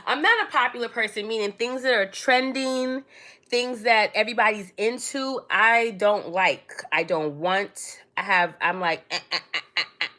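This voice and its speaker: Bright-voiced chatty woman streamer. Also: medium pitch, moderate pace. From born in Trinidad, raised in New York City. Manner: chatty, laughs often, calls viewers darling and baby. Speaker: livestream host. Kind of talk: casual livestream chat.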